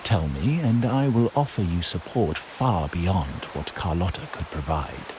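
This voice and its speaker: Proud scheming mafia heir for a game, really low voice, swooping formal delivery, some hiss. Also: male voice, animated. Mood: authoritative, veiled threat. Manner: formal, measured, pompous. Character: proud, scheming male heir of a crime family. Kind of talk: video game voice acting.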